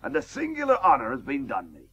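An actor playing an ageing, proud and hearty celebrated cricketer in a radio drama. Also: male voice, fairly high pitch, huge pitch swings, fast, fairly bright tone, husky, full character voice. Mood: jovial. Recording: dull, restored 1980s radio tape.

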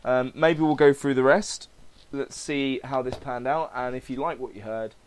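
A man's voice: mid-range voice, steady tone, normal speed, clear, resonant tone. A man hosting a podcast, casually reading and narrating a comic-book story aloud. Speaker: podcast host.

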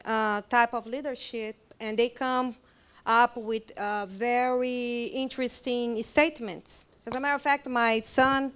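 A middle-aged woman with a Brazilian accent leads a church training seminar, chatty and friendly, with frequent "uh" and "um" fillers. Her voice is smooth and resonant, sitting a touch high. She speaks into a handheld microphone in a hotel meeting room. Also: a bit bright. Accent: Brazilian Portuguese. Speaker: children's ministry trainer. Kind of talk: seminar presentation. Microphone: handheld mic.

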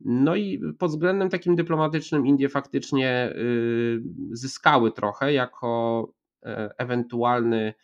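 Hesitant man analysing on a video call. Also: medium pitch. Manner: measured, many hesitations and restarts. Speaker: geopolitics commentator. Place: home room, indoors. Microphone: video call, earphones with mic. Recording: slightly dull lo-fi call audio.

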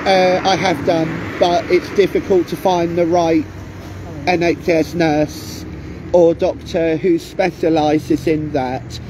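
A man answering questions outdoors in a halting, hesitant, rather formal way. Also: fairly high pitch, little pitch movement. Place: outdoors by a street. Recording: outdoor traffic noise behind.

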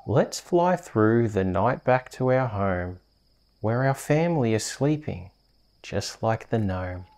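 An Australian man hosting and reading bedtime stories in a soft, soothing, unhurried ASMR storytelling manner. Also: lowish voice, animated, a little hiss or hum. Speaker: host reading bedtime stories on a video channel.

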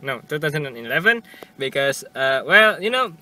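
A young man with an Indonesian accent chatting casually for a vlog while driving, haltingly repeating and correcting his words. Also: on the high side, very animated, bright, forceful tone, low-quality recording. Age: young adult. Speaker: vlogger. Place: inside a car with windows open, driving. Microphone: camera propped on the dashboard. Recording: clear car and traffic noise.

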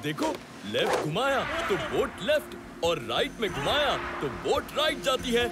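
A big, friendly, simple-minded young cartoon hero. The voice sits high and jumps around animatedly, eager and naive, with music running underneath. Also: male voice, sing-song, normal speed. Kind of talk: animated children's cartoon acting.

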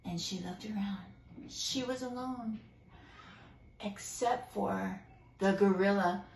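A woman's voice: mid-range voice, slow pace, creaky, a little mellow, roomy sound. A woman narrating a children's storybook expressively, with dramatic, emphatic phrasing. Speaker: storybook reader.

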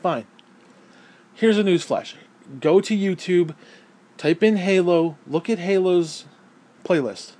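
Male gamer, raised pitch, hoarse and fading voice, profane heated rant. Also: slow, pauses often, dark tone. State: hoarse, losing his voice. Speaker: gaming vlogger. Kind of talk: angry opinion rant.

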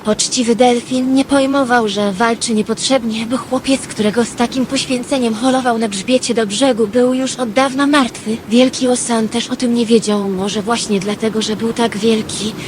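A woman playing a wistful dreamer, narrating in an expressive literary storytelling manner for an audio drama. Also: fairly high pitch, normal speed, hardly any pauses, noisy background, close to an everyday voice. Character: Lady Number Two, wistful female dreamer telling her dream.

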